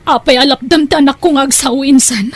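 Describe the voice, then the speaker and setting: A woman's voice: high voice, fairly even intonation, a little fast, natural voice. An actress playing an anxious, protective mother in an audio drama, emotional and urgent, with an Ilocano Filipino accent. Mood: firm, suspicious.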